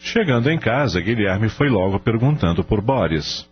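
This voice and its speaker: A man's voice: on the low side, expressive, moderate pace. A man narrating a scripted radio drama. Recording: dull lo-fi radio drama with music.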